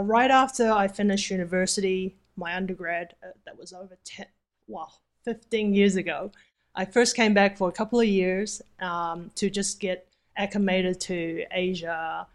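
Woman with a slight Kiwi accent. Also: lowish voice. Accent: slight New Zealand. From Taiwan. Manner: thoughtful, uses fillers. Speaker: interview guest, Airbnb host. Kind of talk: casual interview answers.

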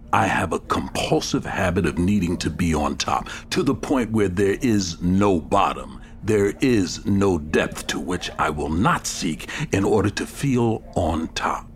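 Tormented detective, audio drama: low, expressive, confessional intensity. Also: male voice, takes it slowly, a little hiss or hum, exaggerated voice. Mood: anguished, resolute. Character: intense, self-tormented male police detective. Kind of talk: audio fiction acting.

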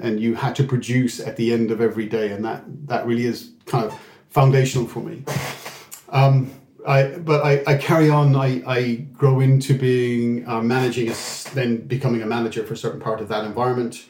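A man's voice: medium pitch, fairly even intonation, a little mellow, roomy sound, slight background noise. A middle-aged man with an Irish accent, talking through his career as an interview guest, with frequent restarts and fillers. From England. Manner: halting, with many restarts and fillers. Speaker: guest executive.

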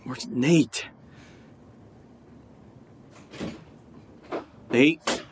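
An actor as an anxious man in an audio drama, hesitant and suspicious, with a sarcastic edge. His pitch sits in the middle, and faint noise runs under the voice. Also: extremely slow. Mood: worried. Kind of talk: audio drama acting, naturalistic.

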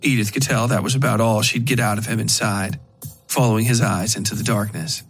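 A man reading dark fiction as a scripted narration, in a measured way with dramatic pauses. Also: on the low side, flat delivery, faint music behind. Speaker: narrator of a horror fiction podcast.

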